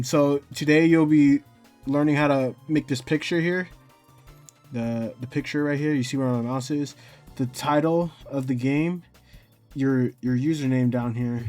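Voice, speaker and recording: A man's voice: medium pitch, darkish tone. A man hosting a casual how-to tutorial, talking in a hesitant, rambling way and often correcting himself. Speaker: tutorial host. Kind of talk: casual screen-recorded software tutorial.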